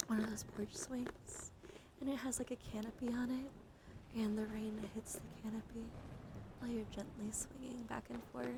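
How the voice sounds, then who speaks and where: A woman streaming ASMR in a soft, soothing, partly whispered voice, chatting in a meandering way with gentle repetition, close to the microphone. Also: a little high, flat delivery, moderate pace, gravelly, background noise.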